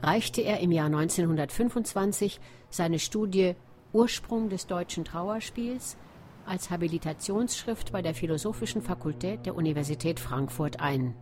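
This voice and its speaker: Woman, documentary narrator, lowish pitch, very mellow tone, scripted delivery. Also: moderate pace, a little hiss or hum.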